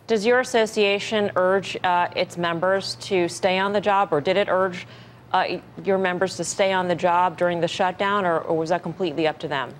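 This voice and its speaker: A woman with a moderately low voice conducts a live news interview. Her questions are pointed and probing. There is light background noise around her voice. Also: fairly even intonation, normal speed.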